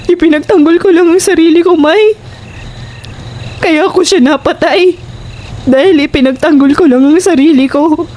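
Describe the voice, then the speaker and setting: A woman's voice: very high-pitched, normal speed, pauses often, a little mellow, slight background noise. A woman playing an emotional, fearful and bitter young prostitute in a Filipino horror audio drama. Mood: anguished, despairing. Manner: emotional, insistent, pleading. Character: distraught, fearful, bitter young prostitute who killed in self-defense.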